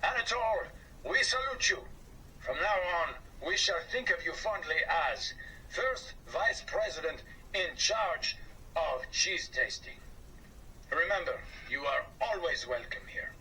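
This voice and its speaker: A grand, pompous storybook cheese-factory owner, played by a man, speaking formally and sprinkling in French words. The voice is raised a little, lively and bright. It is heard from a distance over a faint hiss. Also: fairly high pitch, slow pace, full character voice. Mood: grateful. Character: pompous middle-aged male cheese factory boss.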